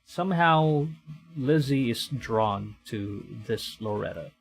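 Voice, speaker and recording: A man's voice: medium pitch, lively intonation, takes it slowly, vocal fry, soft background music. A man from the Philippines, streaming as he narrates a visual novel dramatically and adds casual commentary.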